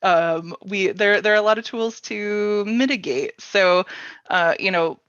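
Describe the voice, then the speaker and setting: A woman hosting a casual panel discussion, thinking aloud informally in halting phrases. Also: mid-range voice, fairly bright tone. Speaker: workshop host and panelist. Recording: roomy, slightly dull sound.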